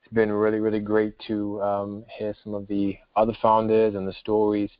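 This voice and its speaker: A young man introduces himself on a remote call, measured and pausing as he goes. His voice is fairly low and stays very even, over dry, slightly dull call audio. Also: clear, resonant tone, no room sound. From Manchester, England. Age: 20s. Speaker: company founder.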